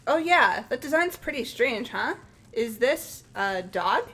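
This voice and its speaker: Excitable, guileless schoolgirl female actor, fairly high voice, casual questioning tone for a game dub. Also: a little slow, breathy edge, heavily acted. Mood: curious. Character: naive, excitable, plain-spoken teenage schoolgirl. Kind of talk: fan-dubbed video game character acting. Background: game music.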